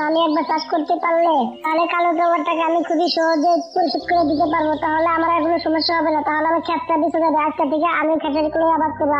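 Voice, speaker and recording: A woman's voice: very high-pitched, lo-fi sound. A woman voicing an anxious, pleading mother tailorbird in a cartoon, earnest in manner. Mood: hopeful. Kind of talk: cartoon character dialogue. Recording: music behind the voice.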